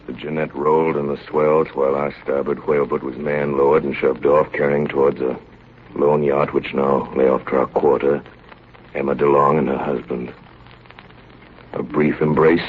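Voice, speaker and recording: An actor playing a sober seafarer who narrates a polar voyage from his journal in measured 1930s radio style. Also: very deep voice. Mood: solemn, measured. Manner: measured, grave storytelling. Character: seasoned, sober ship's engineer recounting a polar voyage. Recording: muffled, hissy 1930s radio recording.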